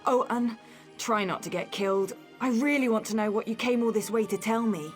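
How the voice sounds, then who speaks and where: A woman's voice: on the high side, a little fast, faint music behind, close to an everyday voice. A woman playing a wary, sardonic young fugitive in a fantasy video game, with a wry and feisty manner. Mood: wry, guarded. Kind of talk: video game character dialogue.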